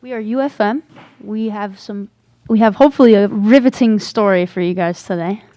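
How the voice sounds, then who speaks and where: A woman's voice: medium pitch, moderate pace, dark tone, no room sound. A young woman chatting casually, a student performer in radio theater. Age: young adult. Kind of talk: casual chat.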